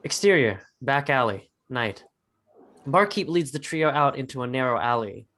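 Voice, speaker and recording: A man with a medium-pitched voice narrating stage directions in a dramatic script reading. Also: lively intonation, moderate pace, a bit bright.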